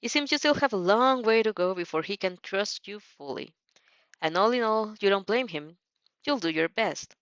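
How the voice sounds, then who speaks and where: A woman's voice: mid-range voice, animated, moderate pace, a bit bright, dry recording, lo-fi sound. A woman narrating fanfiction expressively, dropping in casual asides as she goes.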